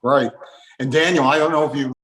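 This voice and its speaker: Mid-pitched male panel interview host. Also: fairly even intonation, brisk, a bit bright, low-quality recording. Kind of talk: polite panel interview hosting.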